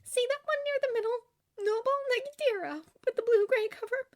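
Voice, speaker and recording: A woman's voice: extremely high pitch, fairly quick, voice pushed up. A woman voicing an emotional, melodramatic young woman from a storybook, heartbroken and indignant. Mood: subdued, explaining.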